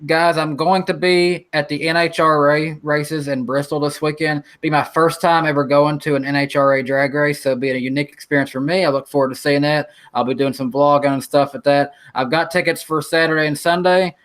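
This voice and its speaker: A man's voice: a little high, brisk, few pauses. A man in his twenties hosting a casual podcast chat over a video call, often restarting his sentences. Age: 20s. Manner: relaxed, repeats words while starting sentences. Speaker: podcast host.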